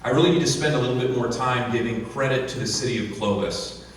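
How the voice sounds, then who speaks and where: A man's voice: mid-range voice, fast talker, a little hiss or hum. A man giving an earnest thank-you speech at a ribbon-cutting ceremony, with many fillers and restarts. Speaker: county official giving a speech. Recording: distant echoey outdoor PA sound.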